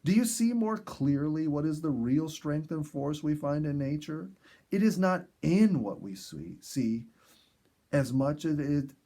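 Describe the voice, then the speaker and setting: A man narrating a story in an earnest, dramatic storybook style with emphatic pauses. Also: medium pitch, mellow, breathy edge. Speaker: audiobook narrator.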